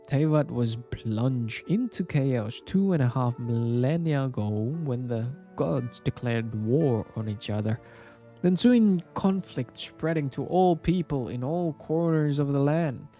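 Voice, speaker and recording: A man reading a story aloud as scripted narration, with a measured, dramatic storybook delivery. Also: medium pitch, animated, warm tone, faint music behind. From Indonesia.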